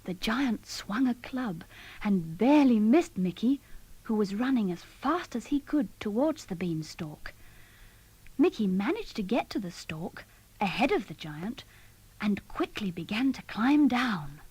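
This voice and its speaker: Breathy, husky woman reading a storybook slowly. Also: mid-range voice, big pitch swings, a little mellow, rough recording. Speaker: storybook narrator. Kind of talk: scripted read-along storytelling.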